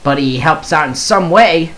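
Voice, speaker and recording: A gaming video creator giving casual commentary and reading a post aloud, halting and stumbling over words now and then. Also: mid-range voice, expressive, fairly bright tone.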